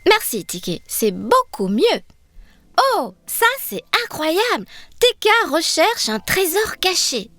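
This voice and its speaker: A woman voicing a brave, curious little girl in a children's cartoon, eager and expressive as she explains things. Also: sits high, slow, exaggerated voice. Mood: curious, friendly.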